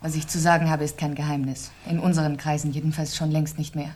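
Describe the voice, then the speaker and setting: A low-pitched, cool and composed society wife, newly widowed. She speaks deliberately and articulately, in the manner of a 1970s radio crime play. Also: little pitch movement, a little mellow. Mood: controlled, frank. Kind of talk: 1970s German radio crime drama acting.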